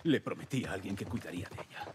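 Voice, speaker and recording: An actor with a Castilian Spanish accent playing a gruff, terse, distrustful middle-aged survivor in a video game dub. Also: male voice, lowish voice, expressive, very fast, heavy background noise, natural voice. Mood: guarded.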